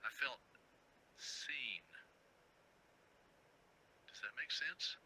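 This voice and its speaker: A man's voice: mid-range voice, lively intonation, extremely slow, sharp tone, in a whisper, heavily acted, rough recording. An actor playing a frazzled, fretful radio station producer in a video game, rambling as he talks. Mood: awed, hushed. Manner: agitated, rambling, exasperated.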